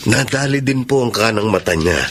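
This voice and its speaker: A grave Filipino doctor in a radio drama. His voice is low, his manner sympathetic and sober as he addresses the family. A faint noise sits behind him. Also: lively intonation, fairly quick, fairly bright tone. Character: grave, sympathetic male doctor breaking bad news.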